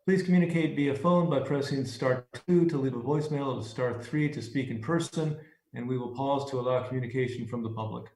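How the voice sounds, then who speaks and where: A man's voice: mid-range voice, brisk, a little mellow. An American man chairing a public meeting, speaking procedurally and off the cuff. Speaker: commission chair presiding over a public meeting. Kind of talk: formal meeting procedure, unscripted. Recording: roomy echo, noisy meeting audio.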